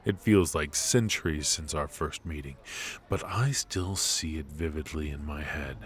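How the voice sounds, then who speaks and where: Casting: male actor as ruthless noir mafia boss, extremely low voice, unhurried, teasing and smug, ASMR roleplay. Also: a little hiss or hum. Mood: amused, wry. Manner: slow, smug, teasing, with drawn-out pauses.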